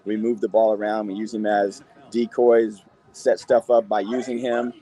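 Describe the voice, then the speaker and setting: An American man, a high school football coach, answering interview questions earnestly and repeating phrases for emphasis. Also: flat delivery, moderate pace, mellow, slightly rough.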